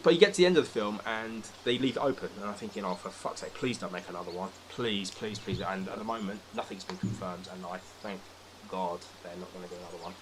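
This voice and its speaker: A man's voice: low voice, fast, background noise. A British man in a casual, opinionated film discussion, talking emphatically with exasperated, sweary rants. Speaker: podcast speaker.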